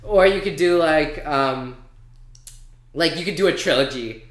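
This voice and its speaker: A man's voice: on the high side, measured pace. A man co-hosting a talk show, chatting casually and enthusiastically about video games and anime in a rambling, informal way. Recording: echoey room.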